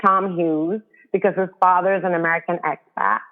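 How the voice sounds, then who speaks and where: A woman's voice: fairly low pitch, steady tone, a little fast. A woman from the Dominican Republic, a guest author, talking earnestly in a casual interview and thinking aloud with many fillers. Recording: tinny phone-like line.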